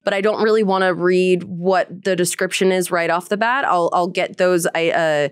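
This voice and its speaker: A woman's voice: on the low side, fairly even intonation, normal speed, clear, resonant tone, no echo, studio quality. A woman chatting casually and enthusiastically about her work as an interview guest, with a bubbly manner.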